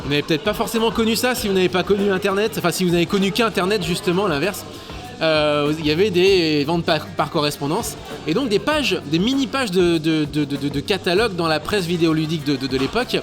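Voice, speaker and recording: A man's voice: high voice, fast. Chatty man in his thirties, music behind. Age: 30s. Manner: chatty, hesitant with many fillers and stammered repeats. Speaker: guest, radio show co-host. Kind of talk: casual interview chat. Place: bar.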